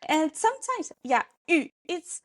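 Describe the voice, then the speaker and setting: A woman's voice: extremely high pitch, sing-song, fairly bright tone. A woman, a French teacher answering interview questions thoughtfully with frequent ums. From Brazil. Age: 20s. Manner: unhurried, thoughtful, many ums.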